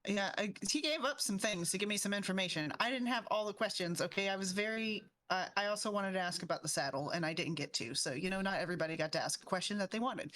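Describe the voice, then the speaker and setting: Woman playing a tabletop rpg, mid pitch, kinda rambly n halting, casual. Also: a bit bright. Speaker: tabletop game player. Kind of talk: casual in-character game chat.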